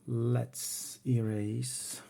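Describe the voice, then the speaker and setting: Brief: Czech man speaking English, mellow tone, plain easygoing hobbyist, home workroom, keyboard clicks behind. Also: medium pitch, level tone, creaky, a little hiss or hum. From Czech Republic. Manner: unhurried, plain, talks while working the computer. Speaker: retro computing hobbyist. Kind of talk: casual home demo walkthrough.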